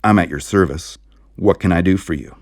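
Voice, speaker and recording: A man voicing a gruff, bullheaded American small-town sheriff in an audiobook, blustering and yelling. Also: deep voice, brisk, no room sound, clean studio sound, natural voice. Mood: indignant. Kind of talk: audiobook character voice.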